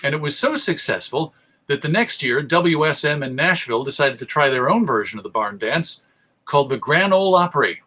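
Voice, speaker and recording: A man's voice: a little high, expressive, fairly quick, bright, forceful tone. A middle-aged American man giving a history lecture as presenter, articulate, with storytelling emphasis. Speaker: presenter, radio show host.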